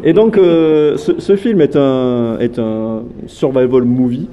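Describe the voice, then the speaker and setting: A man's voice: medium pitch, slow, highs cut off, crowd noise. A man hosting a film vlog at a festival, talking loosely with many fillers and jokes. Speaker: vlog host. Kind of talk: casual film vlog chat.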